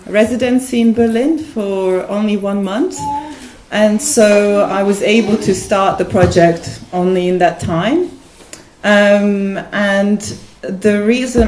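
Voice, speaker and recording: A woman's voice: medium pitch, a little mellow. Hesitant woman from Bosnia, roomy gallery. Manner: many ums, plain and personal. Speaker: artist speaking at a panel. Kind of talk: hesitant panel talk. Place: gallery room. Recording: roomy gallery with faint noise.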